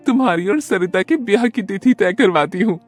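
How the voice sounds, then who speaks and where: A fond old grandmother from a storybook cartoon, played by a woman, keen on arranging matches. She speaks with insistent affection, pitched up from plain narration, over music. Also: a little fast, a little mellow, close to an everyday voice. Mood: affectionate, coaxing. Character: kindly elderly grandmother, persuasive busybody matchmaker.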